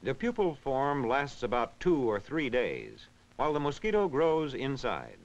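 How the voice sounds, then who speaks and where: A man reading scripted educational narration in a measured, instructional, clearly enunciated way. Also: medium pitch, huge pitch swings. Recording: dull, band-limited vintage film soundtrack.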